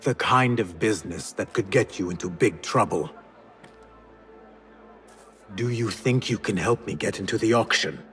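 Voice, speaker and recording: An actor playing a young former street thief turned assassin in a video game, speaking in an earnest, measured, courteous way. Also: male voice, on the low side, fairly bright tone, faint music behind, lightly acted. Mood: calm, secretive. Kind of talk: video game character acting.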